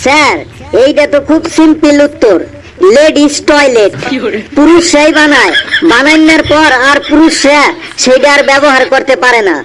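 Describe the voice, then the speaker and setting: Really high squeaky cartoon school kid, smart-mouthed and totally unfazed. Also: male voice, normal speed, fairly bright tone, slight background noise, low-quality recording. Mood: cheeky. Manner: matter-of-fact, cheeky comebacks. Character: cheeky sixth-grade schoolboy, smart-mouthed and unflappable. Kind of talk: comedy cartoon dialogue.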